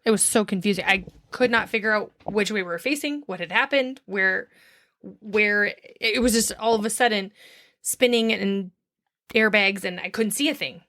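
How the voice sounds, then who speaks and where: A woman co-hosting a podcast, chatty and quick to react, with frequent laughter. Her voice is at a middle pitch for a woman. It is recorded close and dry, with no room sound. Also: pushed and bright, dry recording. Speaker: podcast co-host. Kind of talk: casual chat.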